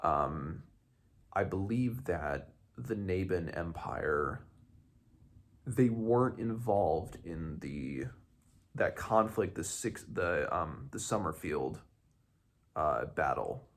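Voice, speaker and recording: Male read-along host, very deep register with heavy vocal fry, casual and halting delivery. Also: animated. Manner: halting, searching for words, self-correcting. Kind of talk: casual book discussion with some read-aloud passages.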